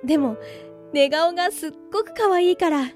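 Very high, cute teenage girl, played by a woman; bright, wistful radio-drama heroine with music behind. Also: normal speed. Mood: chatty, bright. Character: bright, wistful 19-year-old village girl, kind big sister. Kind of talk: Japanese audio drama acting with monologue.

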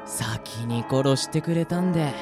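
A man playing a cocky, cruel death-game player in a Japanese radio drama, with rough, taunting, gloating speech. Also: medium pitch, unhurried, over music, lightly acted.

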